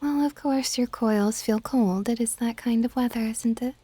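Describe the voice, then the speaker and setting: A woman playing an older dragon goddess in an ASMR roleplay, soft-spoken and formal, stately and gently exasperated. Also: on the high side, normal speed, dry recording, natural voice. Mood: gently scolding. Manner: soft-spoken, breathy asides, formal wording.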